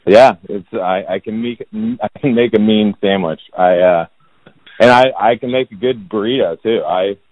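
A man in his thirties from Indianapolis, a real estate agent talking about himself as a podcast guest in a monotone, self-deprecating way. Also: lowish voice, normal speed, no room sound. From Indianapolis, United States. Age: 30s. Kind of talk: casual podcast interview. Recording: dull, lo-fi sound.

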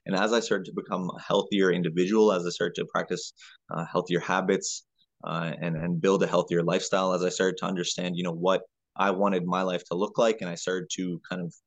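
A man, a health coach answering as a podcast guest in a halting, conversational way, often repeating words. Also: low-pitched, flat delivery, no room sound.

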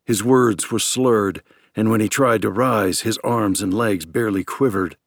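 A man with a fairly low voice narrates fiction in a scripted, dramatic audiobook style. The recording is close and very dry, with no room sound. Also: a little slow, dry recording.

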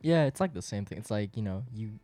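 Young man, deep and mellow, Japanese native. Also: fast talker. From born in South Korea, raised in Japan. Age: 20s. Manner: relaxed, leans on "you know" and "like" fillers. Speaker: R&B artist and producer. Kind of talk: casual interview talk.

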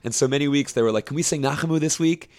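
A young man who directs a camp choir, explaining his work at length in a relaxed interview, with fillers and restarts. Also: on the high side, racing. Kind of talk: conversational interview.

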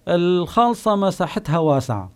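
A man with a Palestinian Bedouin accent, a guest recalling his home village, pausing often with long filler sounds. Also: high voice, big pitch swings, darkish tone, clean tone. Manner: unhurried storyteller, pauses often with drawn-out "ah" fillers. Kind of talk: reminiscing oral history on a call-in show.

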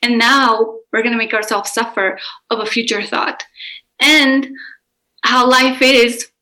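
A woman's voice: on the high side, steady tone, measured pace, sharp tone, rough recording. A woman from Romania with a Romanian accent, a relationship coach speaking openly and earnestly about her own experiences. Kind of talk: candid personal interview answers.

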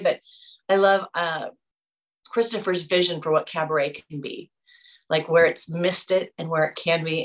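A woman's voice: on the low side, low-quality recording. A woman hosting a podcast interview, chatting casually and enthusiastically, rambling a little as she talks. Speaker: podcast host. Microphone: video call.

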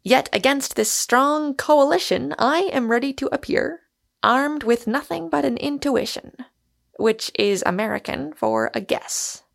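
A witty, grandiloquent gentleman, voiced by a woman, declaiming with playful solemnity. The voice is cheerful and a little creaky, in storybook style. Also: high voice, measured pace, voice pushed up, heavily acted. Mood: cheerful, declamatory.

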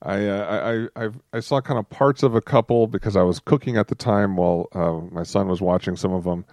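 Low, dry-voiced male podcast host. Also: steady tone, a little fast, a little mellow, no echo. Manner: hesitant, many ums and uhs. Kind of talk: casual chat.

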